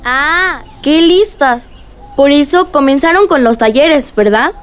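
A woman's voice: sits high, animated, slow pace, soft background music. A woman playing a curious, outspoken young girl in a radio drama. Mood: curious, exclaiming.

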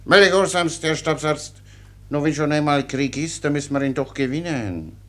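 An actor playing a cheerful, simple-minded soldier who rambles with naive, obedient earnestness in a German radio play. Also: male voice, on the high side, normal speed, fairly bright tone, close to an everyday voice. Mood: earnest, servile.

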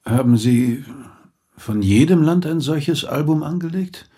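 An actor playing a courteous, businesslike police inspector with a husky voice in a German radio drama. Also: male voice, on the high side, lively intonation, a little slow, dark tone, full character voice. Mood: probing. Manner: polite, questioning, businesslike.

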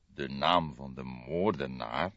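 A man acting out a weary old male detective from a crime audiobook. He is low-pitched, sombre and deliberate, recorded dry and slightly dull. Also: fairly bright tone, dry recording, heavily acted. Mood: disbelieving.

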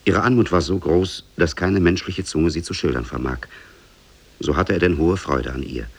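A man narrates a tale in the manner of 1950s radio. His voice is extremely deep, husky and full of fry. The delivery is measured and solemn. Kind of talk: scripted storybook narration, 1950s German radio.

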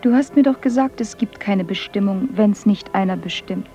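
An actress playing a stubborn, passionate young foster daughter in a radio play, talking intensely and pleading. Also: female voice, mid-range voice, normal speed, warm tone, faint music behind, lightly acted. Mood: tender, wistful. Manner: intense, pleading, sometimes whispering. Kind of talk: radio drama acting.

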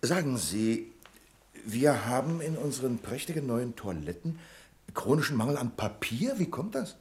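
An imperious bank manager, sweeping intonation. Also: male voice, mid-range voice, sing-song, moderate pace, darkish tone, slight background noise. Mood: peremptory. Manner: curt, condescending, fault-finding. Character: pedantic, imperious male bank branch manager. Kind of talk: German radio play acting.

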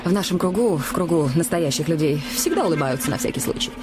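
A coquettish woman of high society in a satirical fairy-tale drama. She speaks with knowing irony and theatrical flair. Music plays clearly behind her. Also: lively intonation, quick pace. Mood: ironic, warning. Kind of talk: satirical fairy-tale radio play acting.

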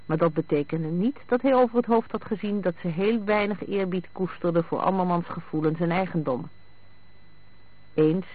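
A man reading a novel aloud as scripted narration. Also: sits high. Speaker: audiobook narrator. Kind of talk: scripted narration of a detective novel with acted dialogue. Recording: thin, phone-like band-limited radio sound.

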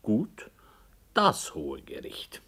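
Reluctant storyteller for radio drama; low-ish, unhurried, formal and evasive. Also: male voice, wildly swooping pitch, sharp tone, slightly rough, heavily acted.

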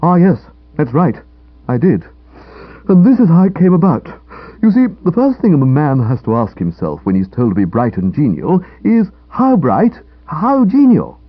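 A man's voice: a little high, huge pitch swings, moderate pace, dry recording, voice pushed up. A man voicing a gloomy, self-pitying young upper-class English writer in a comic audiobook, grumbling theatrically. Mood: aggrieved, dramatic. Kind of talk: comic audiobook character dialogue. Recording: dull lo-fi radio-style recording.

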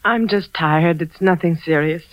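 An actress playing a frightened, evasive wife with a hesitant, pleading manner in a 1940s radio drama. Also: female voice, fairly low pitch, a little slow, no echo, natural voice. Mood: soft, soothing. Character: frightened, evasive, secretive wife with a fragile sweetness. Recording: dull, muffled 1940s radio recording.

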